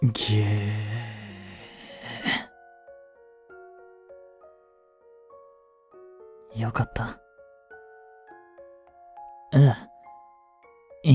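A man voicing a devoted, protective young butler in a romantic voice drama, frantic while searching a fire and soft and tender at a bedside. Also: medium pitch, expressive, extremely slow, pauses often, faint music behind. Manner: soft, gentle and intimate in the bedside scene.

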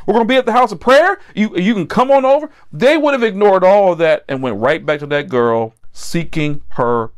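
A middle-aged man preaching a Bible-teaching sermon, emphatic and repeating key phrases for stress. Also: a little high. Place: indoor set with backdrop, at a table.

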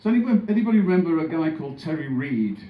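A man's voice: on the high side, sing-song, rapid-fire, narrow, tinny audio, slight background noise. Older man chatting from a club stage. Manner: chatty, storytelling to the crowd. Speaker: singer and guitarist. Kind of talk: stage banter between songs. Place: small packed music club stage. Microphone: stand mic, filmed from the audience. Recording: roomy club sound with band.

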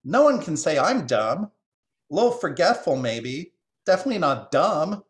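A man voicing an excitable, self-important jay with a shrill, squawking, blaring delivery in a storybook reading. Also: normal speed. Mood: indignant. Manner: blaring, indignant.

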